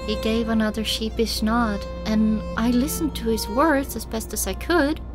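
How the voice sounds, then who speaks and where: A woman's voice: fairly high pitch, steady tone, normal speed. A woman reading a scripted first-person story as an audiobook narrator. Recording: loud background music under the voice. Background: loud music.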